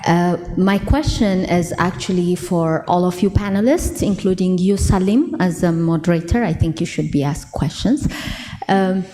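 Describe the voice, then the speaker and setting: Mellow woman in a hall. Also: fairly low pitch, moderate pace, a little hiss or hum. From Ethiopia. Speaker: audience member asking a question. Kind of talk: spoken question to a panel. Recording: reverberant hall.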